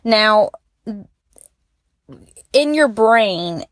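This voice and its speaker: A woman's voice: a little high, animated, drawn-out words, no echo, clean studio sound. A young woman from Alabama leaving a conversational listener voicemail, explaining her personal experience candidly. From Alabama, United States. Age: young adult. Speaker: listener calling in. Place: voicemail message recorded for a podcast.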